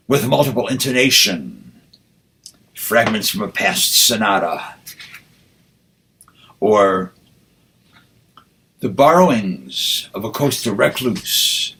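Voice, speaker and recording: A man, a poet, speaking and reciting with deliberate, measured phrasing. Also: mid-range voice, animated, sharp tone, rough recording. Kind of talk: spoken introduction and poetry recitation.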